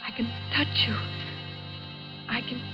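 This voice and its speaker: An actress playing a fearful, distraught young heiress near breakdown in breathless, melodramatic 1940s radio thriller style. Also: female voice, slow, close to an everyday voice. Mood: despairing. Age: young adult. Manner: breathless, melodramatic monologue delivery. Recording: dull vintage radio recording, loud music.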